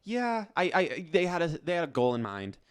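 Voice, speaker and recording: Smooth-voiced enthusiastic male co-host. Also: on the high side, sing-song, normal speed. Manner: enthusiastic, lots of "like" fillers. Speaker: podcast co-host. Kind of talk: casual chat.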